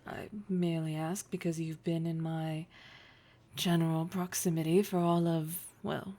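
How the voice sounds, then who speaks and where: A dark, mellow, low voice with a heavy creak belongs to a domineering , woman-acted female boss in an audio roleplay. She is poised and formal, taking her time over each phrase. There is a flirtatious, condescending tease in the way she addresses the listener. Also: little pitch movement. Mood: teasing, challenging. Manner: formal, measured, condescending, teasing, with deliberate pauses.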